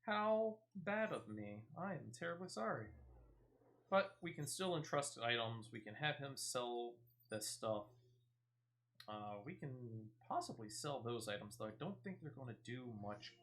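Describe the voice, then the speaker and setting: Lively, bright-voiced man commentating gameplay. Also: medium pitch, unhurried. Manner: chatty, thinks aloud. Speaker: gaming streamer.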